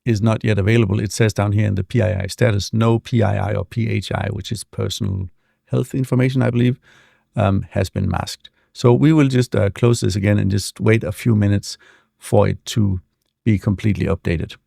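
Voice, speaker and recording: Man, deep gravelly voice, dark tone, dry close sound, relaxed software tutorial. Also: brisk, no room sound. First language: not English. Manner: relaxed, explanatory, with frequent fillers. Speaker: software demo presenter. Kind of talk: screen-share tutorial walkthrough.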